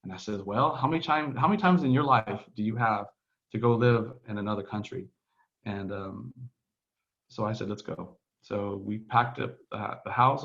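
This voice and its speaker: A man's voice: medium pitch, a bit bright. Rambling Texan man on a muffled call. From Texas, United States. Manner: rambling, with many restarts and filler words. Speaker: podcast guest. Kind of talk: casual conversational interview. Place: home in Barcelona, on a remote call.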